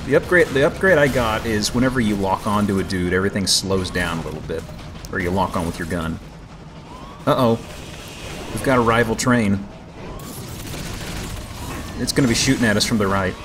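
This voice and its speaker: A man's voice: lowish voice, moderate pace, pauses often. A man hosting a let's play, giving casual, joking gaming commentary. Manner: joking banter. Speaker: let's play host playing the game. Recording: game music behind the voice. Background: video game music.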